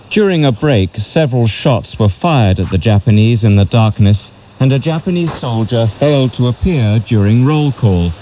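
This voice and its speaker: A man reading a history book as a narrator in a measured, solemn way, heard over a shortwave radio broadcast. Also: mid-range voice, dull audio. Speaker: audiobook narrator. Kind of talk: scripted literary history narration.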